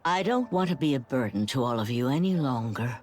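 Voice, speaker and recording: An actress playing a frail, dying young woman in a video game, resigned and stubborn, with wistful, halting delivery. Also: very deep voice, expressive. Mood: resigned, defiant. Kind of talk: dramatic video game cutscene acting.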